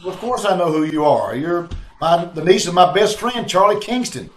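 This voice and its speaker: Guy doing tough wisecracking old radio sleuth, kinda high voice, sure of himself, echoey room. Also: expressive, normal speed. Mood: calm, confident. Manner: wisecracking, self-assured. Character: cocky, tough male amateur sleuth, quick to act. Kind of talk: 1940s radio drama acting. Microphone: video call. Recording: echoey online-call recording with background noise.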